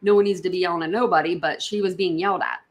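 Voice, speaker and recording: A woman's voice: lowish voice, steady tone, racing. Rambling woman chatting on a livestream. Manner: rambling, repeats herself, addresses viewers directly. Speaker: livestream host. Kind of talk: casual livestream chat reacting to videos.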